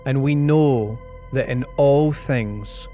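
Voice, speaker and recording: A man reads scripture at a slow, unhurried pace. His voice is of medium pitch, with faint music playing behind it. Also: dark tone. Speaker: scripture reader. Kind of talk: scripted Bible reading.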